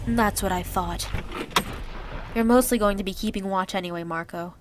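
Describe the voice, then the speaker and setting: A woman's voice: mid-range voice, normal speed, background noise, lightly acted. A woman playing a curt, bossy, sarcastic young survivor in a zombie audio drama, with clipped, commanding delivery. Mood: dry, irritated.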